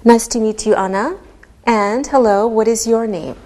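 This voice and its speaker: A woman teaching a beginner English lesson, speaking deliberately and enunciating every word clearly. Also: on the high side, darkish tone. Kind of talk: slow, scripted ESL lesson delivery.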